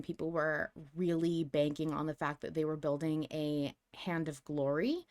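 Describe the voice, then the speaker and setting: A woman with a slightly low voice, co-hosting a casual fan podcast streamed live. She talks loosely and a little self-deprecatingly, full of "like" and "um," stumbling and restarting her sentences, and she sometimes reads notes aloud. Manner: chatty, self-deprecating, many fillers and restarts. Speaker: podcast co-host. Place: home setup on a live stream.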